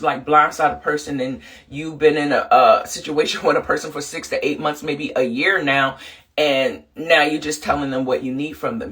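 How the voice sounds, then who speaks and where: A woman's voice: low-pitched, fairly bright tone, recorded from a distance. A woman hosting a podcast, a little congested from the flu, talking earnestly and loosely with lots of fillers. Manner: earnest, rambling, many fillers. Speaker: podcast host and counselor. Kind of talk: casual motivational talk.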